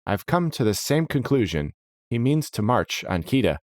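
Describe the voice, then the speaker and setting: A man's voice: mid-range voice, sing-song, moderate pace, dry recording, studio quality, pitched above the performer's own voice, natural voice. A man voicing a stern, officious steward of a lord's court, formal and commanding, in a fantasy story. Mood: grave, stern.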